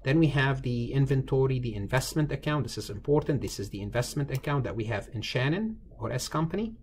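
A man's voice: medium pitch, lively intonation, brisk, a little mellow. A man giving an informal step-by-step tutorial as a lecturer, often repeating and rephrasing himself in a conversational way. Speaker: accounting lecturer. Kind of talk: informal screen-recorded tutorial, explaining step by step.

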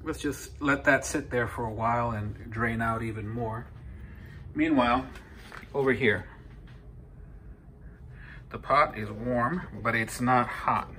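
A man's voice: medium pitch, slow, long pauses, pushed and bright. A man in his fifties from Peru, hosting a home cooking video, explaining each step in a conversational way with frequent fillers and self-corrections. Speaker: home cooking channel host. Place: home kitchen. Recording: noisy home kitchen recording.

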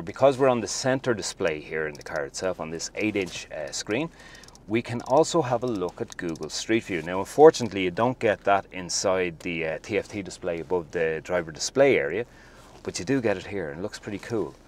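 A man demonstrating a car's infotainment system from inside a parked car, chatty and enthusiastic as he talks to viewers. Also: deep voice. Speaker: car reviewer. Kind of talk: casual video walkthrough.

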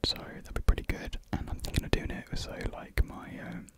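A young man whispers throughout in a breathy, unvoiced hush. He rambles in an informal, unhurried way, like an ASMR creator chatting to viewers. The microphone is close, on a desk in a small room. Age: young adult. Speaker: ASMR video host. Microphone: desktop USB microphone up close.

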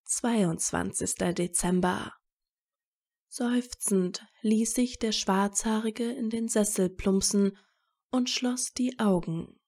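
A woman narrating scripted fan fiction as a story, in a measured storytelling manner. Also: medium pitch, darkish tone.

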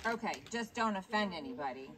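A woman's voice: mid-range voice, lively intonation, brisk, fairly bright tone, lightly acted. A woman voicing a bossy, teasing puppet who prods and scolds in a noisy live comic puppet show. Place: live talent show, recorded from the audience.

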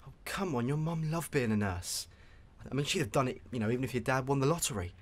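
A man's voice: mid-range voice, lively intonation, quick pace, close to an everyday voice. An actor playing a sensible, frank young British man, a loyal mate, in a naturalistic radio soap. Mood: frank, supportive. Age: young adult.

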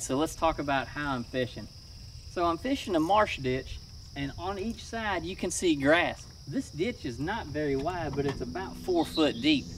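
Man, fishing vlogger; relaxed plain-spoken tips, slightly high voice, distant outdoor mic with noise. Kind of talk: casual outdoor how-to talk. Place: outdoors in a marsh, on a boat.

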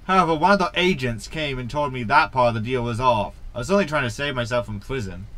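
An actor playing a glib, cocky impostor space captain in a sci-fi comedy audio drama, breezy and matter-of-fact. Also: male voice, mid-range voice, fast, a bit bright, lightly acted.

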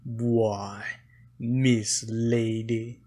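A man playing a forgetful, courtly old bloodhound in a cartoon fan dub, with a rambling, husky voice. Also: medium pitch, level tone, mellow. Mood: courtly, nostalgic. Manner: rambling, halting, folksy.

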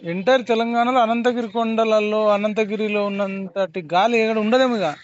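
A man's voice: sits high, steady tone, normal speed. A man with a Telangana Telugu accent, chatting casually as the host of a phone conversation. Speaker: host taking a phone call. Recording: dull, slightly muffled call audio.

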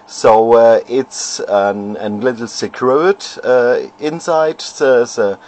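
A man, an amateur radio operator showing his homebrew gear at home, pausing often and searching for words. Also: medium pitch, darkish tone. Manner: halting, many fillers, searching for words. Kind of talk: casual equipment demo. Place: home radio shack, indoors. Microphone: handheld camera.

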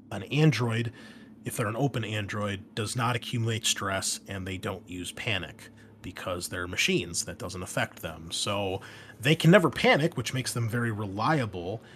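A man with a fairly low voice talks through game rules as a host and game master. He is conversational and unscripted, drifting into asides. There is some background noise on the recording. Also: a bit bright. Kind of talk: casual unscripted rules explainer.

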